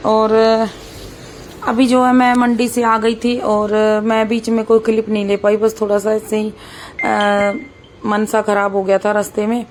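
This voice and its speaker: A woman in her thirties with a hoarse, sore voice, chatting casually through a vlog as she cooks. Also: mid-range voice, little pitch movement, measured pace, slight background noise. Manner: chatty, keeps saying "bhai", talks while cooking. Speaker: vlogger.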